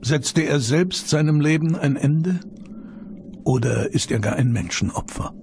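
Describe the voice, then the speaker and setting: A man reading scripted documentary narration with measured, dramatic phrasing and rhetorical questions. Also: fairly high pitch, lively intonation, gravelly, a little mellow, breathy edge, no room sound, clean studio sound. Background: background music.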